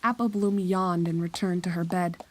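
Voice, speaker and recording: A woman's voice: lowish voice, steady tone, moderate pace, background noise. A woman narrator reading a scripted horror story.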